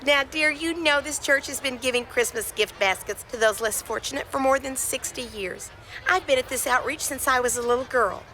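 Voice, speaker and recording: Casting: female performer as folksy puppet-show grandma, bright high voice, slight background noise. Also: moderate pace. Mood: chiding. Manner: folksy, gently chiding. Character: cheerful, chiding old churchgoing grandmother. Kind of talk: church puppet show acting.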